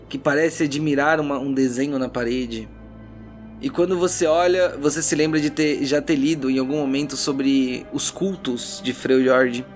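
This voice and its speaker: A Brazilian man running a tabletop role-playing game as narrator, mixing theatrical, suspenseful storytelling with casual banter. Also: on the high side. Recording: loud background music under the voice.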